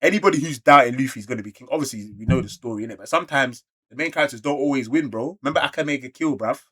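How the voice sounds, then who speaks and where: A man with a London accent giving an excited, slangy read-along reaction to manga, full of exclamations. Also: medium pitch, rapid-fire, husky. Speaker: YouTube reactor.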